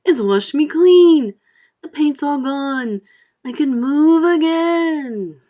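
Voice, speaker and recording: Storybook centipede, male, boastful and giddy, shouting high, read by a woman. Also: animated, takes it slowly. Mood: excited. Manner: shouting, singsong rhymes.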